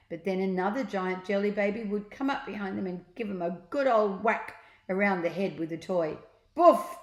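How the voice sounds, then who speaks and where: A woman reading a children's storybook aloud as an expressive narrator, with deliberate dramatic emphasis. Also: medium pitch. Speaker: storybook reader. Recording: echoey room.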